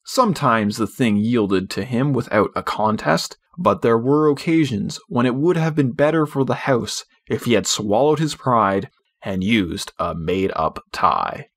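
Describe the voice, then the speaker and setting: Man, audiobook narrator, scripted storybook reading, middle pitch. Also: expressive, measured pace.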